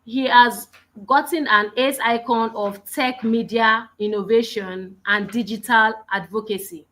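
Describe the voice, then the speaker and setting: Bright-voiced woman introducing a speaker. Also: fairly high pitch, fairly even intonation. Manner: reads the introduction in a measured, announcing way. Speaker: webinar moderator.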